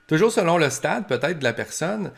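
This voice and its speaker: A man's voice: a little high, sing-song, fairly quick, creaky. Québécois man explaining in an informal way. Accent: Québécois French. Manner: explanatory, colloquial, acts out short examples. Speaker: professor giving advice to family caregivers. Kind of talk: informal instructional talk.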